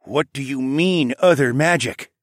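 A man's voice: fairly high pitch, big pitch swings, normal speed, no room sound, clean studio sound, voice pushed up. A man voicing a stern king and father who presses his questions in an audiobook. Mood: pressing. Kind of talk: audiobook drama dialogue.

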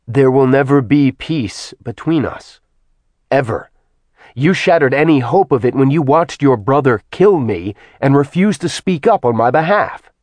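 A man's voice: lowish voice, normal speed, few pauses, no room sound, lightly acted. A man playing a bitter, vengeful immortal man who snarls venomously with a raised, seething voice.

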